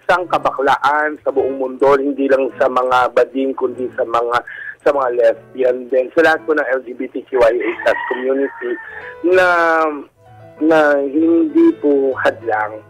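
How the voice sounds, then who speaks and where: A Filipino man with a campy, animated way of talking, telling his personal story casually as a guest on a radio call-in show. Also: mid-range voice, measured pace, slightly rough, rough recording. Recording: radio call-in over background music. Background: music.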